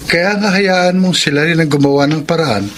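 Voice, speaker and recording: A man's voice: on the high side, moderate pace, a little hiss or hum, close to an everyday voice. An actor playing a supportive young male friend in a Filipino radio drama, reassuring and persuasive.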